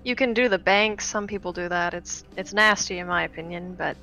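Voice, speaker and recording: A woman's voice: fairly low pitch, fairly quick, gravelly, pushed and bright, faint music behind, low-quality recording. A woman playing a blunt, nonchalant female vampire in a tabletop roleplaying game, matter-of-fact and flippant.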